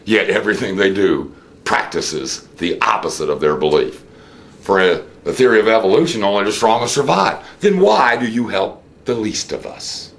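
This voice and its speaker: Deep, gravelly American man, dramatically expressive. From United States. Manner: theatrical, rhetorical questions with drawn-out emphasis. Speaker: host of a political commentary show. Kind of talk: unscripted political monologue and storytelling.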